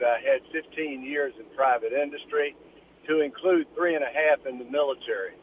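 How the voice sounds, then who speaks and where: A man's voice: mid-range voice, a little hiss or hum. A man in his seventies calling in to a radio show, polite and earnest, addressing the host as ma'am. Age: 70s. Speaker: caller. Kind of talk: call-in radio question. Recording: phone-line call.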